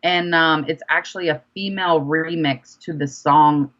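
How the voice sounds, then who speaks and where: A young woman speaks through slightly dull, lo-fi audio. Her voice sits quite low yet comes across bright and forward, as she answers informally and expressively in a casual interview. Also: fairly even intonation, moderate pace. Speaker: guest rapper and songwriter being interviewed.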